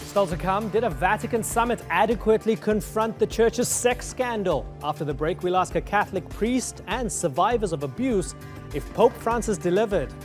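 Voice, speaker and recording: Man, news host, scripted anchor read, crisp, faint music bed. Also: high-pitched, expressive, moderate pace. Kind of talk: news anchor interview and introduction.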